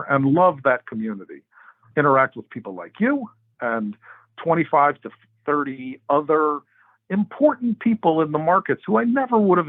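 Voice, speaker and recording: Phone-line man, measured podcast guest. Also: medium pitch, dry recording. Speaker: interview guest, macro research strategist and investment adviser. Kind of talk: conversational explanation of markets on a podcast. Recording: narrow phone-like sound, dry.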